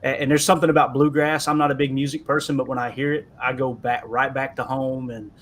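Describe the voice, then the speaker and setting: Appalachian Kentucky guest, mid-pitched, easygoing and self-mocking, faint rumble underneath. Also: male voice, level tone, fast talker. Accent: southeastern Kentucky Appalachian. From southeastern Kentucky. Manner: self-deprecating, rambling with repeated words. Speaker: podcast guest. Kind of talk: casual chat.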